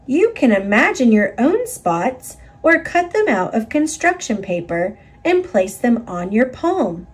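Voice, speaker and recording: Female storybook reader, slightly husky, very animated intonation, teaching young children. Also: a little high, measured pace, hardly any pauses. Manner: expressive, instructive delivery for young children. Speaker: picture book narrator. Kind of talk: read-aloud children's storytelling.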